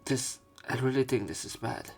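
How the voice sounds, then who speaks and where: A man's voice: medium pitch, fairly even intonation, moderate pace, creaky, slightly rough, faint music behind. A man reading a visual novel aloud as a let's player, acting out the dialogue expressively. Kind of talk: dramatic read-aloud narration of a visual novel.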